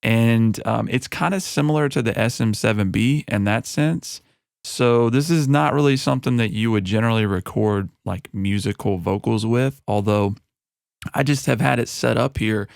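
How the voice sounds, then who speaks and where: A man who is a music producer giving a relaxed, chatty walkthrough of a mixing session into a broadcast mic in his home studio. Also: mid-range voice, moderate pace, creaky, no echo. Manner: relaxed and conversational, with frequent fillers. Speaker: music producer and recording artist. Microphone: broadcast studio microphone.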